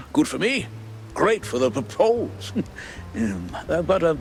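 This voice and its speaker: A stammering, jittery politician mouse with a fairly high voice. He is bumbling and falsely jovial, bouncing up and down in pitch in cartoon noir game style. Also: big pitch swings, takes it slowly, slight background noise, heavily acted. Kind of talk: animated noir game acting.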